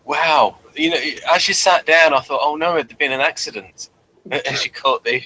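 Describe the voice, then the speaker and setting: Bright-voiced man hosting an informal review show. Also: fairly high pitch, slight background noise, rough recording. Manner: halting, digressive, self-correcting. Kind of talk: informal review chat, reading out a summary.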